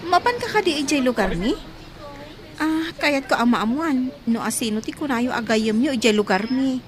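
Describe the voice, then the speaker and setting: A woman's voice: high-pitched, animated, moderate pace, over music. A woman playing a curious, chatty village woman in a radio drama, asking questions in an eager, inquisitive manner. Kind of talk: Ilocano radio drama acting. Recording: busy crowd sound behind.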